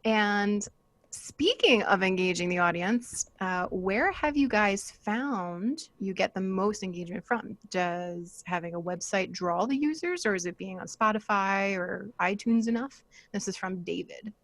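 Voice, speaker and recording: A woman podcaster talking casually on a live panel, chatty and quick to laugh. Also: medium pitch, fairly bright tone, dry recording.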